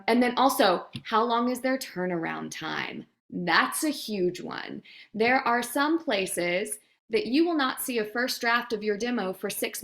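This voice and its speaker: A woman's voice: on the high side, a bit bright. A woman guest speaking as a voice demo producer, giving casual advice in an interview chat and acting out imagined reactions.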